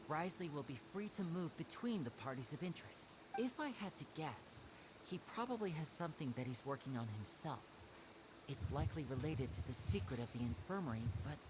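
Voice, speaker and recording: An actor playing an earnest, analytical and polite young male magician in a video game, speaking articulately and deliberately. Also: fairly high pitch, noisy background, close to an everyday voice.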